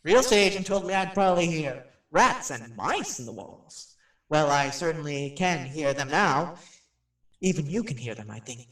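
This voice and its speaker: In a roomy, slightly distant recording, a male radio host in a spooky radio drama. He is folksy and jumpy, confiding in his listeners with big swings of pitch. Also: very animated, normal speed, fairly bright tone. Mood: hushed, coaxing. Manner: folksy, addressing listeners directly. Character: jumpy, chatty radio showman touring a haunted house. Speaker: actor playing a radio personality.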